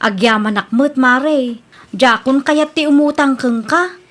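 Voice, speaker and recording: Woman playing a high-voiced, wheedling Ilocano debtor. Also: unhurried, fairly bright tone. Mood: friendly. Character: evasive, pleading younger woman always short of money. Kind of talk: Ilocano comedy radio drama acting.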